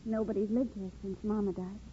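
Soft, wistful young wife played by a woman. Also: medium pitch, little pitch movement, fairly quick, close to an everyday voice. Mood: wistful, subdued. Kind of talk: 1950s radio drama acting. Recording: muffled, noisy 1950s radio broadcast.

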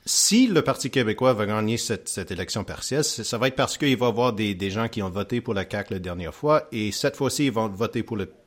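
A man's voice: on the low side, expressive, a little fast, few pauses. Hesitant man, English Canadian accent. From Gaspésie, raised in eastern Ontario. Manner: hesitant, searching for words, many fillers. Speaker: podcast co-host. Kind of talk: casual political podcast chat.

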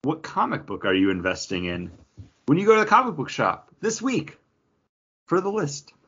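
A man's voice: on the high side, wildly swooping pitch, normal speed, a bit bright, breathy edge. A man co-hosting a podcast, chatting in a casual, rambling, conversational way. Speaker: podcast co-host. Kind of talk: casual chat about comics and beer. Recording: dull, lo-fi podcast audio.

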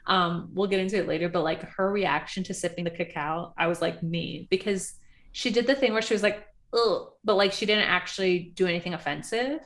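A young woman on a casual podcast, gabbing about a reality show. Her talk is animated and full of laughter, with swearing dropped in freely. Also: fairly low pitch, brisk. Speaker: podcast co-host. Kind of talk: casual reality TV recap chat.

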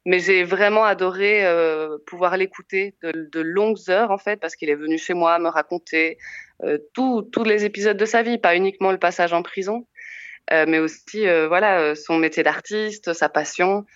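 Soft low Belgian woman, hesitant. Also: normal speed, clean tone, no room sound, highs cut off. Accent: Belgian French. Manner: hesitant, many fillers and restarts. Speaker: film director. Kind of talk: interview answers.

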